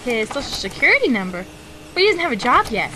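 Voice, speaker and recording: Woman as a high-voiced worried mother. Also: very animated, fast. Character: worried mother hiring a detective. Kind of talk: amateur radio drama acting. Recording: noisy amateur recording.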